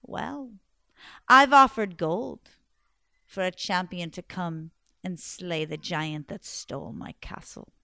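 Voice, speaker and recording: A woman voicing a scheming old woman who speaks slowly in a low, hushed, menacing way. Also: vocal fry, no echo, heavily acted. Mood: sly.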